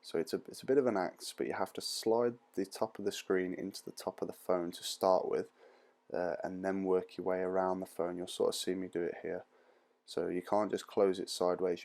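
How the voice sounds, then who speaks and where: Low, creaky-voiced man giving instructions. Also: a little mellow. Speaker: repair tutorial presenter. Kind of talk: informal step-by-step instructional voice-over.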